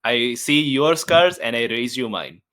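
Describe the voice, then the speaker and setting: A man's voice: medium pitch, measured pace, a bit bright. Playful man role-playing at a tabletop game. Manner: playful, informal, slangy. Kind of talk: casual in-character role-play and chat.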